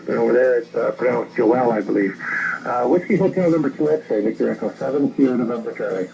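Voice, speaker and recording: A man's voice: medium pitch, fast talker, creaky, mellow. A Canadian man chatting casually as an amateur radio operator, in a rambling, conversational way, heard over a radio transmission. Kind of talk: casual ham radio contact. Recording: noisy radio transmission captured by phone.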